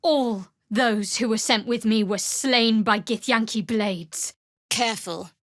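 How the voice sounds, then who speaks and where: A woman playing a guarded, sardonic young cleric of a dark goddess in a fantasy game, speaking in a measured, dry, wry way. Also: on the high side, no room sound. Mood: solemn, measured. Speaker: video game voice actor. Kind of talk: scripted fantasy game dialogue.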